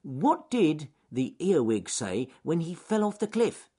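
A man's voice: on the high side, big pitch swings, takes it slowly, warm tone, breathy edge, pitched above the performer's own voice, full character voice. A man voicing a silly male rabbit who shrieks out his own joke. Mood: giddy.

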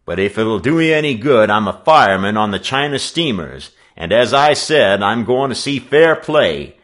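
Man playing a gruff, commanding working man. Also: lowish voice, big pitch swings, slow pace, fairly bright tone, pitched above the performer's own voice, heavily acted. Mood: tart, commanding. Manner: tart, blunt, commanding. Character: big muscular gruff working-man ship fireman.

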